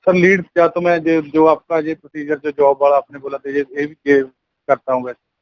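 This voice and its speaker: A man's voice: a little high, little pitch movement, normal speed, no echo. A young man from Punjab in India in a polite, deferential phone conversation, often addressing the caller as sir. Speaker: part-time network marketer being coached. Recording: dull lo-fi phone call, dry.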